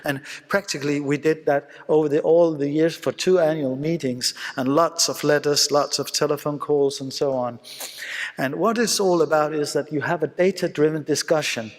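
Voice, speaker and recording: A man from Denmark with a Danish accent gives an informal conference lecture as a surgeon, speaking English as a second language with halting phrasing. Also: a little high, steady tone. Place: conference hall, live talk. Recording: reverberant hall sound.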